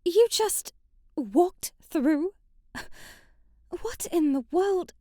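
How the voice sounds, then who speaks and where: A woman's voice: very high voice, expressive, drawn-out words, dark tone, no echo, studio quality, exaggerated voice. A woman playing a haughty, sheltered young princess in a scripted ASMR roleplay, prim and bratty, with formal, old-fashioned wording and an easily flustered temper. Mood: curious, surprised.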